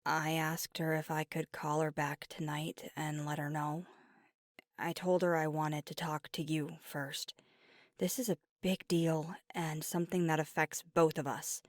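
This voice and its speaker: An earnest, devoted werewolf girlfriend played by a woman in an intimate ASMR audio roleplay. Her voice sits on the low side and comes through dry and close. She takes her time, choosing words with hesitant, conversational sincerity. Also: flat delivery, unhurried, no echo. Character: devoted, earnest young werewolf girlfriend weighing big news.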